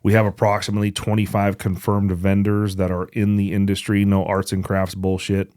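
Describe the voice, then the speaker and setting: A man's voice: lowish voice, completely flat delivery, brisk, darkish tone, no echo, studio quality. A man hosting a podcast with casual chat and announcements, blunt and joking, with plenty of swearing. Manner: blunt, profane, joking banter. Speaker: podcast host.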